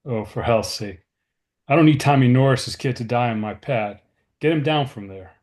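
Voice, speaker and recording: A man acting a gruff, exasperated drilling engineer in a drama script read. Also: medium pitch, normal speed, a little mellow, lightly acted. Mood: irritated. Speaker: actor.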